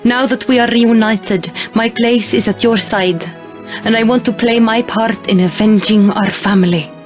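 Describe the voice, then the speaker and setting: A woman's voice: fairly high pitch, steady tone, normal speed, close to an everyday voice. A woman playing a tender, determined long-lost princess sister in a dramatic video game cutscene. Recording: soft music behind the voice.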